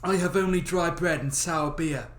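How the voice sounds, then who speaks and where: A man as Dummling, earnest young simpleton, bright. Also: a little high, normal speed, lightly acted. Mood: earnest, generous. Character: simple, good-hearted youngest son in a fairy tale. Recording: roomy echo of a small room.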